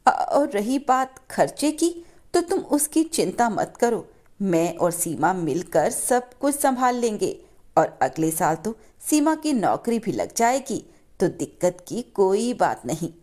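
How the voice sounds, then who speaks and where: A woman voicing a gentle, self-sacrificing mother in a moral story, speaking in a soothing, motherly way. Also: medium pitch, very animated, slow. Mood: reassuring. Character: gentle self-sacrificing poor widowed mother, reassuring her daughters. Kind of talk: animated moral story dialogue.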